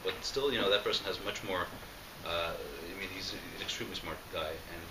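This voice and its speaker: Interview guest, man, very low voice, rapid talker, distant mic in an echoey hall. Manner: fast, animated, many restarts and fillers. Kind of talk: casual interview conversation. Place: large roomy event space. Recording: echoey room, distant mic, background noise.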